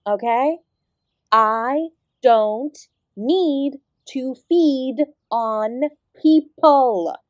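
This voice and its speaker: A woman's voice: high-pitched, no echo. A woman playing an ancient celestial dance teacher in an audio roleplay, sarcastic and exasperated, speaking with sardonic, sweary emphasis. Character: ancient female apsara dance guru, sarcastic, exasperated, fiercely independent. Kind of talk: scripted audio roleplay addressed to the listener.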